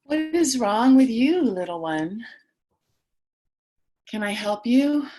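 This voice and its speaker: A woman's voice: fairly high pitch, expressive, takes it slowly, mellow, faint music behind. A woman playing a lone, anxious apocalypse survivor in a theatrical stage monologue, wry and conversational. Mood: curious, tender.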